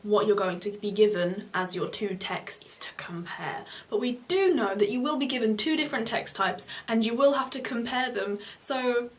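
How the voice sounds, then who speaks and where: A woman's voice: fairly high pitch, normal speed, few pauses. Young woman, casual student explaining exam tips in a small room, chatty. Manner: chatty, animated hand gestures, self-correcting. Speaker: student giving exam advice. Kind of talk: casual advice chat on camera. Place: small home room, indoors. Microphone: camera in front of two seated speakers.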